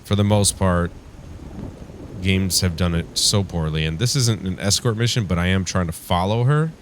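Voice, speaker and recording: Man, low voice, congested and strained, casual let's play host, rambling, noticeable background noise. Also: normal speed. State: congested from flu, strained voice from yelling. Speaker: gaming show host.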